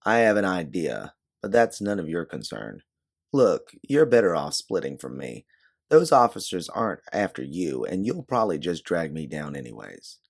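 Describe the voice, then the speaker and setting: Man as a gravelly, guarded fugitive woman. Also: low voice, big pitch swings, moderate pace, full character voice. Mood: curt, guarded. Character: guarded, injured fugitive woman, curt and weary. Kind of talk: audio storybook character voice.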